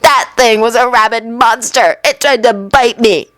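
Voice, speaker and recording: A mean young bully with a high, nasal sneer, as a woman reader voices him. He jeers and threatens, every line dripping with contempt. The recording is dry and close. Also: measured pace, fairly bright tone, dry recording. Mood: jeering.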